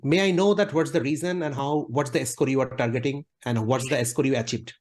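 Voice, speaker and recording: A man's voice: mid-range voice, brisk, darkish tone. A man interviewing a student, politely asking questions and summing up her answers at length. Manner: polite, summarizing and wordy. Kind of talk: casual interview.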